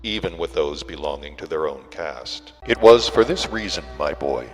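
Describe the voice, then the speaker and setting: A man reading a literary audiobook in a deliberate way, with frequent pauses between phrases. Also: very deep voice, animated. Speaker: audiobook reader. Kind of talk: scripted literary narration. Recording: reverberant hall sound with music.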